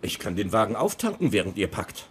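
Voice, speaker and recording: An actor playing an easygoing but defensive middle-aged husband in a dubbed television sitcom. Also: male voice, on the low side, sing-song, brisk, a bit bright. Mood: helpful. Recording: noisy TV sitcom audio, background ambience.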